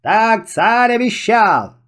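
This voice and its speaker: A man's voice: sits high, big pitch swings, takes it slowly, fairly bright tone, pitched above the performer's own voice, heavily acted. A man playing a fairy-tale town crier, calling out a royal decree in a raised, declamatory voice. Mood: proclaiming. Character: loud town criers proclaiming the tsar's harsh decree.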